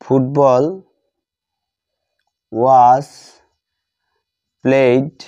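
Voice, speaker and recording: Man, grammar tutor with a Bengali accent. Mellow tone, extremely unhurried delivery, repeats phrases as if dictating. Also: medium pitch, little pitch movement, no echo. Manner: deliberate, dictating word by word with repetition. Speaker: English grammar teacher. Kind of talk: instructional lesson, bilingual grammar explanation. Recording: dull lo-fi sound.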